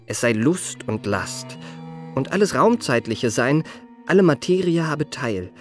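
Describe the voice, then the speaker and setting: An actor in a German radio play playing an eager, well-mannered young impostor who poses as a marquis and speaks in ornate, courteous phrases. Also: male voice, medium pitch, very animated, measured pace. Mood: rapt. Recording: radio play with faint music.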